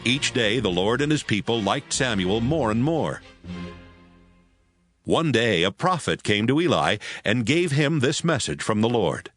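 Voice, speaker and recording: A man's voice: mid-range voice, big pitch swings, unhurried, a bit bright, faint music behind. A man narrating a scripted story for an audio drama.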